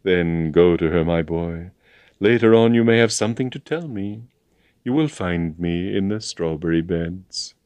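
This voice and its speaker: A man's voice: sits low, expressive, a little slow, darkish tone, dry recording. A man voicing a stern, sentimental old colonel with a grave, emphatic military manner, in a storybook reading. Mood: kindly. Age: older.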